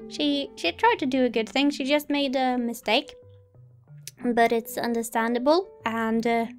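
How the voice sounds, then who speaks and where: High female voice, casual gaming commentary, chatty, hesitant, game music underneath. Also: a little slow. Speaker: gaming video host. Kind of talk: casual let's play commentary, reading dialogue aloud.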